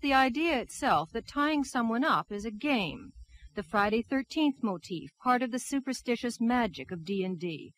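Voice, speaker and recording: A woman reporter delivers scripted documentary narration for television news. Her pitch sits in the middle range, and her delivery is measured and built like a dramatic story. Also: rough recording.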